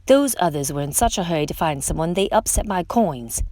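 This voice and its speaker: A woman's voice: on the low side, lively intonation, a little fast, darkish tone, no echo, close to an everyday voice. A woman voicing a grumpy, greedy lobster in a storybook, curt and snarling. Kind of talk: storybook character voice.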